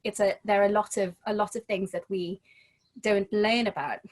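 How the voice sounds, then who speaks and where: A woman talks as a guest in a casual conversation. Her pitch sits in the middle of the usual range for a woman. She thinks aloud and often restarts a phrase before finishing it. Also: fairly even intonation, moderate pace. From Trinidad and Tobago. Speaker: guest, home educator.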